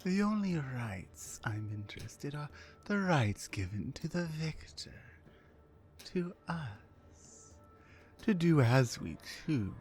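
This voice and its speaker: A woman playing a towering, mean, dominant orc captor in an ASMR roleplay, commanding and deliberate. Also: low-pitched, very animated, a little mellow, soft background music, heavily acted. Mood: cold, commanding. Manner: menacing, commanding, slow and deliberate.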